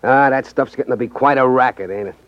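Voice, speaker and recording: An American actor playing a sly, slangy small-time card sharp with a cagey, streetwise manner in a 1950s radio drama. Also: male voice, medium pitch, no echo, lightly acted. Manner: streetwise, cagey, hesitant drawn-out phrases. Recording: muffled 1950s radio recording.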